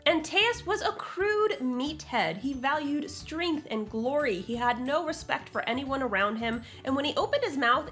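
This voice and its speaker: Bright, high-pitched, animated woman presenter. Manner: blunt, sassy, emphatic, salty-tongued. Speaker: host of a writing advice channel. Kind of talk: scripted, punchy writing-advice lesson to viewers.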